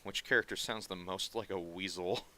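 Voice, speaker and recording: A man's voice: low-pitched, steady tone, pushed and bright. A man with a nasal voice that sounds sarcastic by default, chatting casually with viewers while he draws on a stream. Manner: rambling, quick asides and mutters while working. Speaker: streaming artist.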